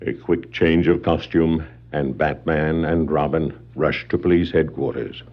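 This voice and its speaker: An actor narrating a scripted 1960s superhero adventure record as a dramatic, portentous storyteller. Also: male voice, extremely low pitch, steady tone, a little slow, over music. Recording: muffled 1960s vinyl record.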